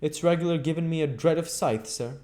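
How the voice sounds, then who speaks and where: A man's voice: a little high, brisk, warm tone, pitched above the performer's own voice, close to an everyday voice. A man voicing a chatty, deferential middle-aged country landlady who rambles in folksy phrasing for a storybook reading. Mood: earnest, rambling.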